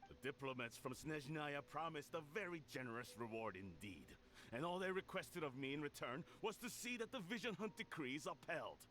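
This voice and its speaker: An actor playing a haughty, scheming older clan lord in an anime video game, with an imperious, formal manner. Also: male voice, a little high, lively intonation, fairly quick, fairly bright tone, a little hiss or hum.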